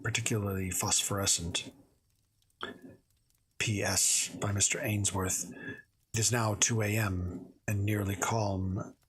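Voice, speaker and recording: A man reading a classic story aloud on a livestream, slightly hoarse, with small slips and self-corrections. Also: lowish voice, fairly bright tone, slight background noise, low-quality recording. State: a little hoarse. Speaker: streamer reading stories aloud.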